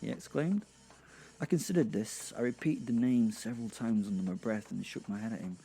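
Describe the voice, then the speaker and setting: A man narrating a first-person horror comedy story with a theatrical, relishing manner and dramatic pauses. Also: on the low side, dark tone, a little hiss or hum, rough recording. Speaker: narrator and host.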